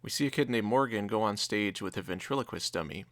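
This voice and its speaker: A man's voice: fairly low pitch, fast talker, fairly bright tone, a little hiss or hum. A man co-hosting a review, casually recapping and commenting on a TV episode. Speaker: reviewer, co-host.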